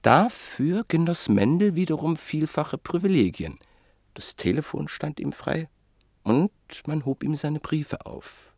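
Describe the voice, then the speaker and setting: A man narrating a classic literary radio play in a measured, reflective way that sometimes sinks to a near whisper. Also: on the high side, animated, gravelly, a little mellow, no echo, clean studio sound.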